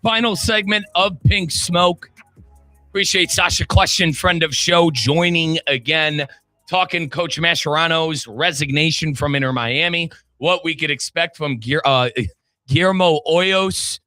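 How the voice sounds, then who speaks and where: A man's voice: fairly high pitch, measured pace, pushed and bright, no echo, soft background music. A man hosting a sports talk show, chatty and emphatic, repeating points and addressing listeners directly between sponsor reads. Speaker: sports radio host and play-by-play announcer.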